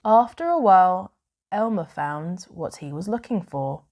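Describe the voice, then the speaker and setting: A woman reading a children's bedtime story aloud in a measured, expressive way with dramatic pauses. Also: on the low side.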